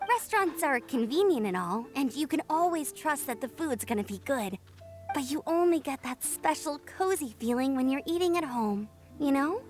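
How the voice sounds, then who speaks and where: Perky game girl scout, eager n chatty, really high voice, swings around lots. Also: animated, normal speed, a little mellow, faint music behind. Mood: warm, earnest. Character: cheerful young female scout, eager and earnest. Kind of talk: video game character dialogue.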